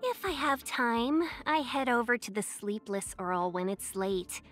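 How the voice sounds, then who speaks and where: A young fox-woman merchant from a video game. Her voice sits fairly high, courteous and coy, with a playful, silver-tongued persuasiveness. Faint music plays underneath. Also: animated, unhurried, fairly bright tone. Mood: chatty, courteous. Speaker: game character voice. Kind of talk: scripted video game character voice lines.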